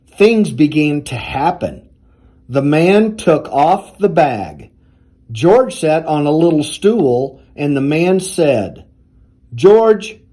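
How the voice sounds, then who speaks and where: A man reading a children's storybook aloud in a deliberate, expressive storytelling manner. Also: a little high, husky. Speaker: university athletics director reading aloud.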